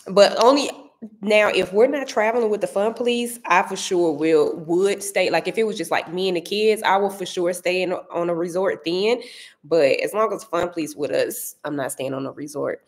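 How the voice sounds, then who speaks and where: A woman in her thirties with a St. Louis accent, chatting casually to viewers from her dining room, animated and often searching for words. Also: on the low side, moderate pace. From Saint Louis area. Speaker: vlogger answering viewer comments. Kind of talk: casual chat.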